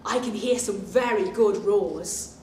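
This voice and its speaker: A woman reading a children's picture book aloud at story time as a librarian, animated and expressive, pausing for asides and questions to young listeners. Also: medium pitch. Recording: echoey room, distant mic, faint noise.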